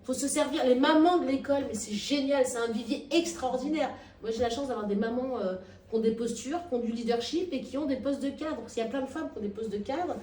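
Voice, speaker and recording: A woman being interviewed, with frequent restarts and hesitations that give way to emphatic, lively stretches. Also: high voice, a little fast, few pauses. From Nigeria and Madagascar. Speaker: actress and workshop founder, interviewee. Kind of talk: spontaneous interview talk. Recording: echoey room, heard from a distance.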